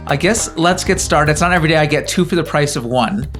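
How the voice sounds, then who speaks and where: A man's voice: fairly high pitch, fast talker, fairly bright tone, faint music behind. A man hosting a podcast interview, upbeat and welcoming as he asks his guests questions. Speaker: podcast host.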